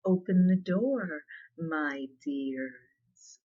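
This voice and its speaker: A woman voicing a sly, hungry old male wolf in a slow, coaxing ASMR storybook reading. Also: deep voice, lively intonation, narrow, tinny audio, full character voice.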